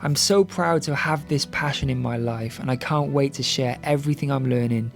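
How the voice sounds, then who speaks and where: An earnest, upbeat man shares his homestead life in a heartfelt vlog. His voice sits in the middle range. Soft music plays faintly behind him outdoors in the garden. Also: mid-range voice, normal speed, vocal fry. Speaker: homesteading vlogger. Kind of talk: reflective vlog narration and casual chat.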